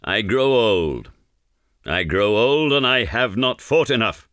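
A man's voice: on the low side, lively intonation, slow pace, fairly bright tone, no echo, studio quality. A man voicing an aging, bloodthirsty warrior who is proud and boastful and speaks in grand, archaic declamation for a storybook.

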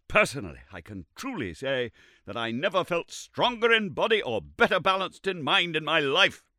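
A man voicing an irritable, sarcastic elderly male professor who scolds in a shrill, indignant voice. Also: sing-song, moderate pace, pushed and bright, no room sound, clean studio sound. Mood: furious.

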